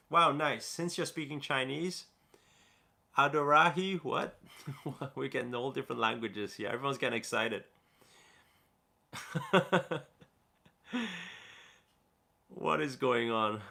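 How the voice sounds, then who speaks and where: A man's voice: medium pitch, creaky, bright, forceful tone. A man from Newcastle hosting a casual livestream Q&A, reading viewer comments aloud and chatting loosely with plenty of fillers and restarts. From Newcastle, England. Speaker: livestream host.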